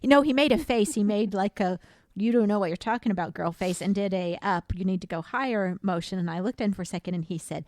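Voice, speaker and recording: A woman's voice: mid-range voice, fast talker, darkish tone, no echo, studio quality. A woman co-hosting a podcast, telling stories casually with mock-dramatic, teasing banter. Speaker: podcast co-host. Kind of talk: casual comedic storytelling.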